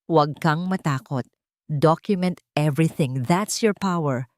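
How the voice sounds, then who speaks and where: Woman narrator as a supportive paralegal pal in a drama story, firm, lowish, dry. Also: unhurried, no room sound. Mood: encouraging.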